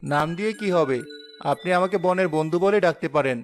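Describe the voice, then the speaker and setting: Unseen cartoon forest spirit, played by a man: fairly high male voice, solemn, protective, formally polite. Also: a little fast, soft background music, lightly acted. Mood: reassuring, mysterious. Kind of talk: animated fairy-tale dialogue.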